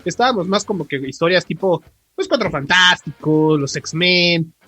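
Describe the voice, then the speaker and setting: A man's voice: sits high, normal speed, sharp tone. A Mexican man hosting a podcast, chatting casually with slangy, profane, joking banter. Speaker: podcast host. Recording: podcast with music playing.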